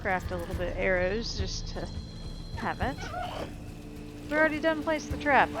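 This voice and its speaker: A woman's voice: medium pitch, expressive, moderate pace. A woman giving casual, informal video game commentary, talking to viewers as she plays. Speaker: gameplay commentator. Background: game music and sound effects.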